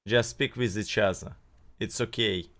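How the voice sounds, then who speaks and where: A man streaming and reacting to videos, speaking halting non-native English with many pauses and fillers. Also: on the low side, fairly even intonation, a bit bright. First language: not English. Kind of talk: casual live-stream commentary.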